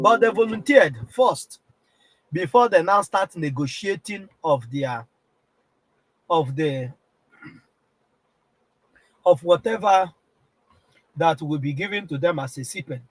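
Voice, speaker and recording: Nigerian male host, sick with a cold, husky, slightly high-pitched, insistent live-stream talker. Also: expressive, a little slow, long pauses, no room sound, poor audio. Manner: keeps clearing his throat, repetitive and insistent. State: has a heavy cold, throat strained. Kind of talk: political live-stream address.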